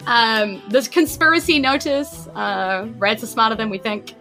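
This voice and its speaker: A woman's voice: fairly high pitch, animated, measured pace, bright, forceful tone, faint music behind. A woman narrating a tabletop game as game master, in a conversational, descriptive way.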